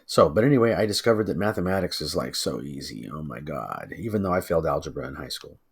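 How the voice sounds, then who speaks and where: A man giving a casual, informal tutorial as a teacher, thinking aloud and muttering asides to himself in a husky voice. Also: rumbling low, expressive, fast. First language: English.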